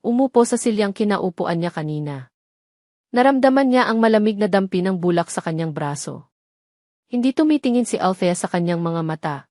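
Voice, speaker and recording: A woman's voice: mid-range voice, darkish tone, dry recording. Solemn woman narrator, very dry sound. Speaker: story narrator. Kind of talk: dramatic scripted romance narration in audio-drama manner.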